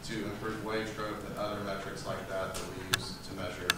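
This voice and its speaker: A soft-spoken man moderating a debate, explaining the rules and reading questions with frequent fillers. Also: deep voice, little pitch movement, brisk. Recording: echoey hall, from far off, noisy.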